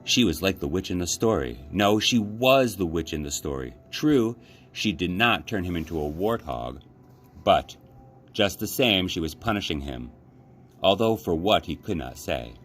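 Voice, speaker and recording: A man with a deep voice reads a children's novel aloud. His phrasing is measured and dramatic, like a library storyteller's. Noise is clearly audible behind him. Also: expressive, fairly bright tone. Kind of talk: children's audiobook-style read-aloud.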